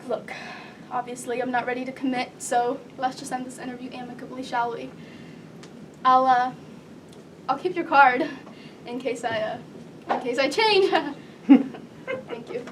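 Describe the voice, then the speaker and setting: A woman's voice: high voice, takes it slowly, long pauses. A woman performing a stage monologue as a nervous, rambling thirty-year-old job applicant who keeps correcting herself. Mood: flustered, defensive. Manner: rambling, nervous, self-correcting with awkward laughter. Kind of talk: live theatrical comic monologue. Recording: distant stage mic with background noise.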